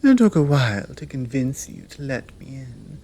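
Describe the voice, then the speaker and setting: A woman with a British accent playing a seductive, commanding monster woman in an intimate ASMR roleplay, teasing and often dropping into a breathy whisper. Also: a bass voice, big pitch swings, normal speed, dark tone, exaggerated voice. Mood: seductive, pleased. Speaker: audio roleplay performer.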